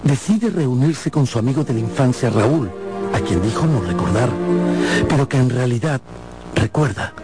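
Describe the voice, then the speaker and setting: A man's voice: medium pitch, animated, moderate pace. A man narrating a radio drama in a theatrical, suspenseful style. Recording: loud music behind.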